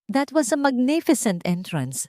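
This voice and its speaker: A woman's voice: sits high, very animated, unhurried, dark tone, dry recording, studio quality, pitched above the performer's own voice. A woman voicing a gallant male admirer in a romance story, polite and suave. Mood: admiring. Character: gallant, courteous male admirer with a teasing streak. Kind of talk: storybook romance drama dialogue.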